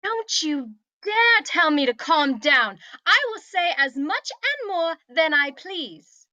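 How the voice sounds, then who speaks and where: A woman's voice: very high voice, very animated, slow, sharp tone, no room sound, muffled recording, heavily acted. A woman playing a haughty, bossy, petulant young princess in a comedic script read, with an imperious, commanding manner. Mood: indignant, demanding.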